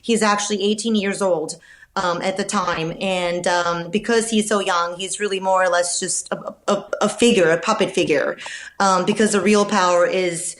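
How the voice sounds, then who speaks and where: A woman from Romania, a novelist guest answering podcast interview questions in a thoughtful, measured way with frequent fillers and restarts. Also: medium pitch, fairly even intonation.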